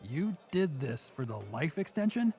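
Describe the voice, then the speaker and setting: A man playing a world-weary, menacing prison inmate in an audio drama sketch, speaking in a deliberate, scornful, taunting way. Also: fairly high pitch, sing-song, a little mellow, slightly rough, faint music behind. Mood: dry disbelief. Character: mocking, world-weary male prison bunk mate, menacing.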